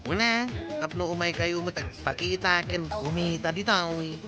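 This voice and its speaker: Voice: medium pitch, normal speed, music in the background, close to an everyday voice. An actor voicing a cheeky, quarrelsome single villager in an Ilocano radio comedy drama. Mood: needling.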